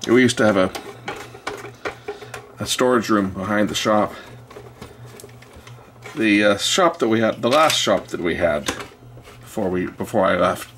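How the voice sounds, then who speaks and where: A middle-aged or older man giving casual, unscripted repair commentary, rambling and halting as he thinks aloud. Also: on the low side, lively intonation, background noise. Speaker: electronics repair video host.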